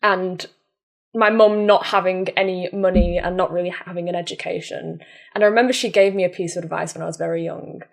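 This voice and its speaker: A woman from Manchester with a softened Mancunian accent, talking reflectively as a guest in a conversational interview. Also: lowish voice, steady tone, a little fast, vocal fry. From Manchester, England. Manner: reflective, soft-spoken, frequent "mm" and "yeah". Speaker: podcast guest.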